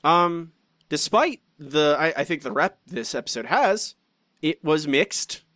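A man co-hosting a podcast, reading out listener comments in chatty, animated fashion with frequent restarts and asides. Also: on the high side, unhurried, no room sound, clean studio sound. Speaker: podcast co-host.

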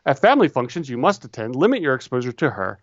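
Male co-host, easygoing, dry humor, mid pitch, dull lo-fi recording. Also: sing-song, quick pace, no echo. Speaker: podcast co-host. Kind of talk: casual chat, reading advice letters aloud.

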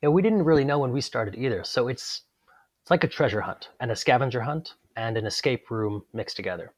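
A man guesting on an interview, explaining things in a relaxed, matter-of-fact way with a touch of dry humor. His voice is mid-pitched and even. The recording is dry and close. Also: a little fast, no room sound. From Netherlands, raised abroad. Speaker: guest game designer. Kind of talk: interview answers.

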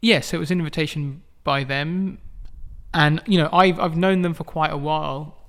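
A middle-aged man from England, a guest artist in a casual interview, talking in a halting way with many restarts and frequent chuckles. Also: a little high, steady tone, fairly bright tone.

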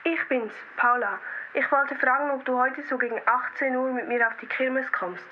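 Paula, a daring teenage girl, played by a schoolgirl, speaks in a high, bright voice with a Swiss German lilt. She comes across as curious and sure of herself. Music plays softly underneath. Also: fairly even intonation, normal speed, phone-quality sound, slight background noise, natural voice. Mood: cheerful. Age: teens. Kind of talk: student radio play.

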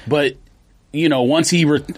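A man's voice: fairly high pitch, fairly even intonation, unhurried, no room sound. A man in his forties, a podcast host, chatting casually and rambling through memories, with many restarts and fillers. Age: 40s. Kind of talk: casual chat.

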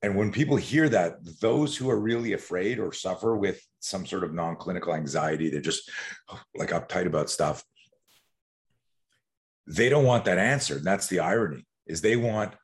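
A man's voice: low-pitched, normal speed. A man in his sixties from Canada, a podcast guest telling rambling stories with many restarts. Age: 60s. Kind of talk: casual podcast interview.

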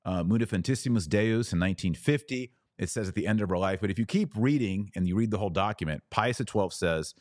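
A man's voice: deep voice, no room sound, studio quality. A man speaking as a podcast host, teaching about religion conversationally and answering questions in a didactic, emphatic way.

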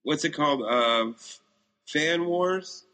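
A man's voice: fairly high pitch, slow, sharp tone. A man co-hosting a podcast, chatting casually with a laid-back, teasing manner. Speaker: podcast co-host.

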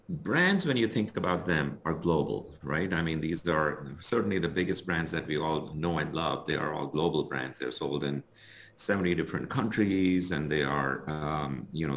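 Measured middle-aged man, very deep voice. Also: fairly bright tone, slight background noise. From India. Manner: measured, explanatory, many fillers like "you know" and "um". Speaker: guest author and consultant. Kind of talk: interview answers. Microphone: remote call recording.

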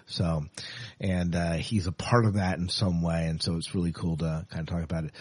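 A man's voice: deep voice, level tone, moderate pace, a little mellow, husky. A man hosting a podcast in a casual, chatty style. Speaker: podcast host.

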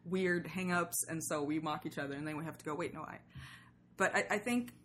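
A woman's voice: low-pitched, a little fast, a bit bright, a little hiss or hum. A woman from Sweden chats casually as a podcast guest, rambling through asides and acting out imagined lines. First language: Swedish. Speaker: podcaster and writer, interview guest. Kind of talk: casual interview chat.

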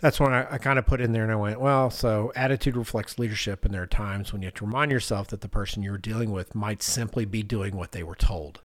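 A man's voice: lowish voice, fairly even intonation, fast, few pauses, no room sound, clean studio sound. A middle-aged man co-hosting a podcast, telling stories in a casual, conversational way and acting out what people said. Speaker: podcast co-host.

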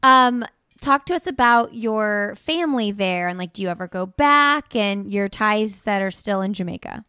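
A woman's voice: mid-range voice, expressive, moderate pace, bright, forceful tone, clear, resonant tone, no echo, studio quality. A woman hosting a running podcast, asking friendly questions and reading her own ad spots. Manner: friendly, asks questions with fillers. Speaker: podcast host. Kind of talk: podcast interview and ad read.

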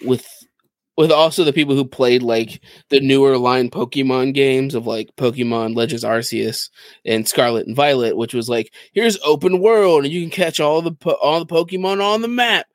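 A man with a medium-pitched voice co-hosts a casual gaming podcast. He explains things in an enthusiastic, conversational way. The sound is dry, with no room to it. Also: moderate pace, dry recording. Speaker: podcast co-host.

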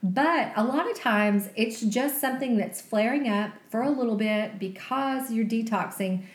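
A woman in her forties co-hosting a casual health podcast, chatty and quick to laugh. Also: fairly high pitch. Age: 40s. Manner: chatty, laughs easily, talks through advice. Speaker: podcast co-host. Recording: echoey room.

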